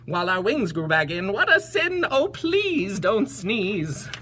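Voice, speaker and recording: A woman's voice: medium pitch, very animated, measured pace, a bit bright, slight background noise, pitched above the performer's own voice. A woman voicing anxious, aggrieved bees in a rhythmic storybook complaint. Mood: aggrieved worry. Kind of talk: animated picture-book read-aloud.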